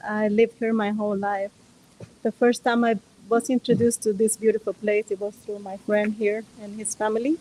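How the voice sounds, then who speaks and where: A woman, a resort manager answering interview questions in a hesitant, halting way. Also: medium pitch, little pitch movement, mellow, a little hiss or hum, rough recording. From Costa Rica. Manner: hesitant, halting, with many fillers. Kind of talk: interview guest. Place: outdoor resort.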